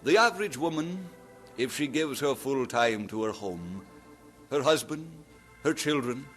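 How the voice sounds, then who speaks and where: An earnest Scottish preacher, with a middle-pitched voice that rises and falls with lively emphasis. Soft music plays under this old radio drama. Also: male voice, unhurried. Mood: preaching. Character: earnest young male preacher. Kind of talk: 1940s radio acting. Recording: vintage radio recording with music.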